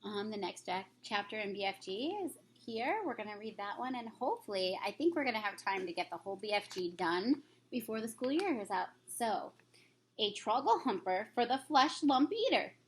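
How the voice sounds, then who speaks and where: A woman's voice: medium pitch, animated, moderate pace, slight background noise. A woman reading a children's storybook aloud as a teacher would, with occasional stumbles.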